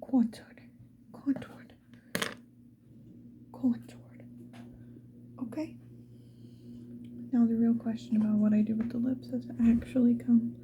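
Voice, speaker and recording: A woman speaking mostly in a breathy whisper, chatting casually to the viewer in an ASMR get-ready style. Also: on the high side, steady tone, slow, long pauses, phone-quality sound, noisy background. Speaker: ASMR video creator.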